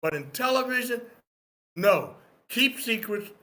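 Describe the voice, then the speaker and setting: A man with a high-pitched, forceful voice takes part in a workshop debate. He is opinionated and instructive, leaning on repetition to press each point. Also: lively intonation, a little slow. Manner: emphatic, instructive, repeats points for emphasis. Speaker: participant in a screenwriting critique.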